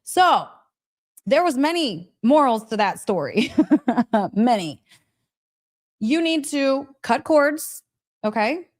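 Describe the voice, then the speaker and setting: A woman with a fairly high voice talks as a spiritual teacher on a casual live stream. She is gushing and emphatic, often repeating phrases for weight. She speaks straight to her listeners, checking in with little questions as she goes. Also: big pitch swings, slow, long pauses. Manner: chatty, direct address with frequent check-in questions. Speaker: host of a live story session, spiritual teacher.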